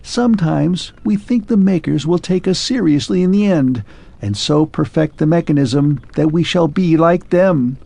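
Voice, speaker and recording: A man voicing a melancholy clockwork man who speaks in a shrill, faint, pleading way. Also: normal speed, gravelly, mellow, no room sound. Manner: pleading, wistful, grows faint and distant.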